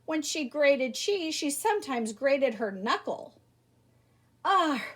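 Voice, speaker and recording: A high-voiced woman reads a children's story aloud. Her pitch swings widely as she leans into the emphasis like a teacher addressing young listeners. The delivery is animated and theatrical. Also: takes it slowly. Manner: animated, theatrical emphasis with exclamations and rhetorical questions. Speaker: teacher reading a picture book aloud.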